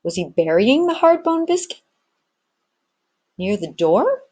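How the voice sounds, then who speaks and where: Husky woman reading a storybook aloud. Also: a little high, sing-song, unhurried, darkish tone. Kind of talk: expressive storybook narration.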